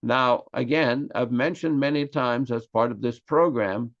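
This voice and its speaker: An older man from Quebec with a Québécois French accent, French his first language, giving an earnest, emphatic class on scripture. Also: medium pitch. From Quebec, Canada. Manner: earnest and emphatic, stressing key words slowly. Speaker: religious class speaker. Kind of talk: lecture on scripture. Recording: slightly dull lo-fi audio.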